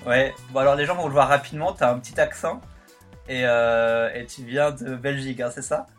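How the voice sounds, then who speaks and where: A man's voice: mid-range voice, fairly even intonation, normal speed, faint music behind. A man hosting a travel podcast, chatting casually with frequent fillers and restarts. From France. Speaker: podcast host.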